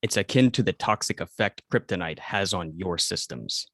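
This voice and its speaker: A man voicing a calm alien robot companion in a formal, deadpan manner at an amateur table read. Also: deep voice, moderate pace, dry recording, studio quality. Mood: weary, matter-of-fact.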